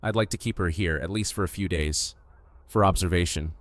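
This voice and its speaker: A man playing a calm, reassuring doctor in an audio drama, explaining in a measured, clinical way. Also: sits low, no room sound, close to an everyday voice. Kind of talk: full-cast audio drama acting.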